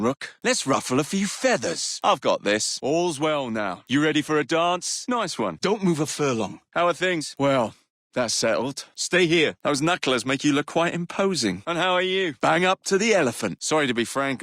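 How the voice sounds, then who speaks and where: A young English gang leader from a Victorian video game. He is brash and playful, with a highish, animated voice. Orders come out clipped, banter comes out teasing and roguish, all recorded very dry. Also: no room sound. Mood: menacing, then brisk, commanding.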